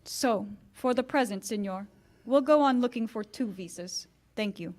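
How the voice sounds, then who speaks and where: A woman's voice: a little high, normal speed, a little mellow, lightly acted. An actress playing a proud, wounded married woman, restrained and earnest, in 1940s-style radio theater. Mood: earnest, firm.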